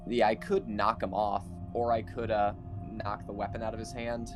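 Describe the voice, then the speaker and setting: Male tabletop player, lowish voice, hesitant casual delivery, thinking aloud. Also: flat delivery, moderate pace, music in the background. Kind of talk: casual game chat.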